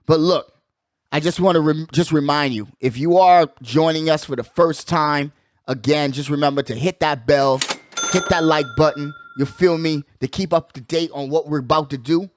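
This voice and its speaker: A man's voice: on the high side, moderate pace, no echo. A man hosting a casual sports talk show, slangy and emphatic, often repeating his catchphrases. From United States. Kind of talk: casual hyped sports chat.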